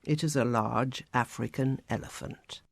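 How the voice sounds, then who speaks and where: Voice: medium pitch, measured pace, creaky, no room sound. Casting: story narrator, scripted audiobook read in a very dry studio.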